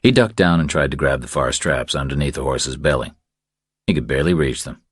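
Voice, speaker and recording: A man's voice: a bass voice, fairly quick, slightly rough, no room sound, clean studio sound. A man reading a thriller audiobook as a scripted narration.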